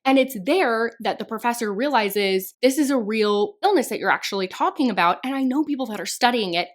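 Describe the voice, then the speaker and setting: Young female book vlogger, chatty and animated, slightly hoarse and nasal, pitched a bit high. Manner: chatty, animated, frequent "like" fillers. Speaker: book reviewer. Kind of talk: casual book wrap-up vlog.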